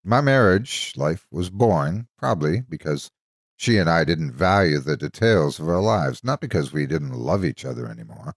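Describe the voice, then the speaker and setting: Deep-voiced, expressive male storyteller. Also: normal speed, no echo, clean studio sound. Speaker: story narrator and channel host. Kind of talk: dramatic scripted story narration.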